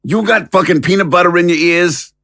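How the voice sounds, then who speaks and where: A man's voice: sits high, fairly quick, fairly bright tone, no echo. A man voicing a foul-mouthed, sneering talking dog in an audio drama, blunt and taunting. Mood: mocking, crude.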